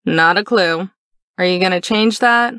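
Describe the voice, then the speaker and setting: A woman's voice: fairly low pitch, measured pace, fairly bright tone, no echo, clean studio sound. An actress playing a blunt, unimpressed young woman traveler in a visual novel, speaking curtly and matter-of-factly. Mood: blunt, skeptical. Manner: curt, matter-of-fact.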